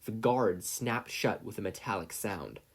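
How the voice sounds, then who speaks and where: Male story reader, slightly low pitch, scripted narration. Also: animated, unhurried, breathy edge. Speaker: podfic reader.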